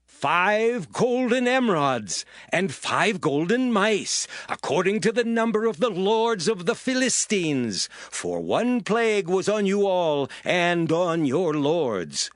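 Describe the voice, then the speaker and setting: A man playing a solemn ancient priest who gives stern, deliberate, declamatory counsel in a dramatized scripture reading. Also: high voice, sing-song, no room sound, full character voice. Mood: solemn instruction. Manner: slow, deliberate, emphatic, declamatory.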